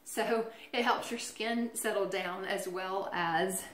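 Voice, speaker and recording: A woman talks in a relaxed, chatty way, pausing on ums and circling back as she explains things. Her voice sits at an ordinary middle pitch. She is recorded in an echoey room with a faint hum behind her. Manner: chatty, friendly, filler-heavy explaining. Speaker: essential oils presenter. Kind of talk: casual how-to talk.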